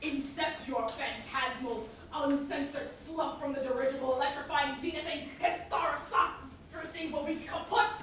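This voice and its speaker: A woman's voice: sits high, fairly even intonation, normal speed, hardly any pauses, a bit bright. A woman playing a bombastic imperial official on stage, haranguing in pompous, orotund, garbled officialese. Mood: haughty, threatening. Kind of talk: theatrical satirical staged reading. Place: echoey performance hall, distant mic. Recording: echoey hall from far off, faint noise.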